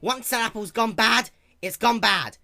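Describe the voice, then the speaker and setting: A man's voice: high-pitched, unhurried, vocal fry, bright, forceful tone, voice pushed up, heavily acted. A man voicing a cranky, distrustful old granny pony, snapping in folksy phrases for a story reading. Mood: stubborn, angry.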